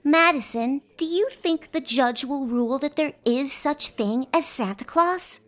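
Smart serious little 8yo girl, high voice, polite n curious, radio play. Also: a little slow, a bit bright. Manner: polite, precise, inquisitive. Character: earnest, precocious eight-year-old girl.

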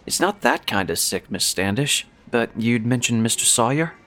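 A man's voice: medium pitch, animated, normal speed, fairly bright tone, soft background music, close to an everyday voice. A man playing a mild, humble store sweeper in a radio play, speaking plainly and simply. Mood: subdued.

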